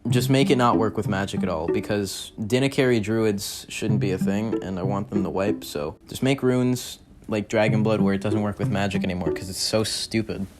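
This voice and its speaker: A man's voice: on the low side, moderate pace, hardly any pauses. A young man giving blunt, slangy, exasperated opinions in a gaming commentary voice-over. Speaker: gaming video creator. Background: music.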